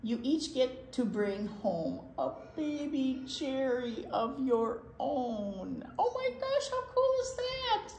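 Slow, animated older woman reading aloud. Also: high voice. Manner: expressive, animated. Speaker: children's library storytime reader. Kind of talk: read-aloud picture book with chatty asides. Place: indoor library storytime room. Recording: echoey room with background noise.

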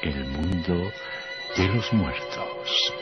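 An actor playing a sinister storyteller, narrating radio horror with theatrical, morbid relish. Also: male voice, sits low, expressive, extremely slow, full character voice. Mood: macabre relish. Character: sinister male horror storyteller, gleefully macabre doctor. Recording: dull old radio recording with music.

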